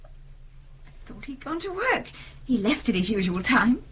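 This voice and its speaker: A woman's voice: fairly high pitch, sing-song, a little slow, fairly bright tone, a little hiss or hum. An actress with an English accent playing a distressed, defensive middle-aged wife and mother in a naturalistic radio drama, terse and prickly. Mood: weary, withdrawn.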